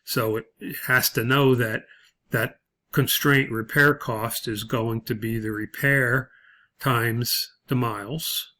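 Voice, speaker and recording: Halting male tutorial instructor. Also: mid-range voice, steady tone, sharp tone. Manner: halting, with frequent pauses and hesitations. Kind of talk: spreadsheet tutorial, explaining step by step.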